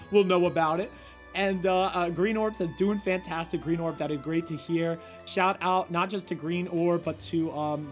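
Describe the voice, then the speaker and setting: High-energy male stream host, slightly hoarse, fast stammering shout-outs over faint game music. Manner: hyped, rapid shout-outs with frequent stammered restarts. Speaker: tournament host and commentator. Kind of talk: energetic esports stream commentary.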